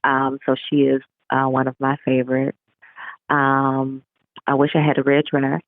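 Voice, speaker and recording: A woman's voice: a bass voice, a little slow, no echo. Woman author on a phone-like line. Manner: animated, acts out remembered remarks. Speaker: author, interview guest. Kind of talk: casual interview chat. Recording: narrow phone-like line, radio-show sound.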